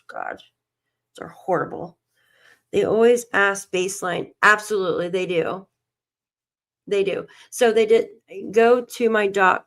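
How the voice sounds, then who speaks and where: A woman's voice: fairly low pitch, a bit bright. A tired woman hosting a casual livestream, reading documents aloud and muttering to herself as she searches, coughing and losing her voice. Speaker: livestream host.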